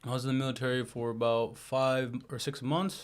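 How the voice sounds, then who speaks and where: A young American man speaks as a guest in a relaxed interview. He talks quickly at a middle pitch, candid and a little hesitant. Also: clear, resonant tone. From United States. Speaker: guest, English teacher. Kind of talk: casual interview.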